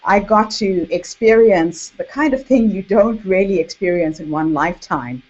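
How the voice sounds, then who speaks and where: A woman with a Zimbabwean accent hosts an online workshop, speaking in a measured, deliberate way with emphatic repetition. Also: mid-range voice, darkish tone. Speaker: workshop host and author coach. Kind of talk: online workshop presentation to camera. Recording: dull, lo-fi webinar audio.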